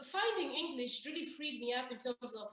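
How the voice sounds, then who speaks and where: High-voiced woman in an echoey room. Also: measured pace. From Zimbabwe. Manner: animated, storytelling with self-interrupting restarts. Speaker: author guest. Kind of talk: conversational interview answers.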